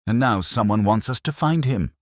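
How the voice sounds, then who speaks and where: A man voicing a courteous, quietly incisive police inspector, terse and probing. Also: fairly low pitch, lively intonation, normal speed, no room sound, studio quality, pitched above the performer's own voice, lightly acted.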